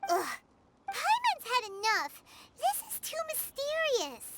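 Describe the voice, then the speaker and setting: Game sidekick: shrill chatty fairy, lively, high. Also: female voice, takes it slowly, very noisy, exaggerated voice. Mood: puzzled. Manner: excitable, talks about herself in third person. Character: small chatty female fairy companion.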